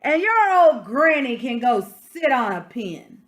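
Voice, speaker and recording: A woman voicing a rude, irritable male little pig who yells curtly in a children's story. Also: high voice, big pitch swings, takes it slowly, exaggerated voice. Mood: rude, yelling. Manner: curt, loud yelling. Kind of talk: storybook read-aloud for children.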